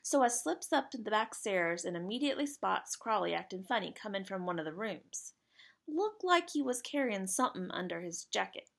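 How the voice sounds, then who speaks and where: A woman's voice: a little high, very animated, normal speed, gravelly, heavily acted. A woman voicing a plucky, friendly working-class British boy from a children's storybook, speaking earnestly in slangy, colloquial grammar. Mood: proud storytelling. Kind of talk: children's storybook character read aloud.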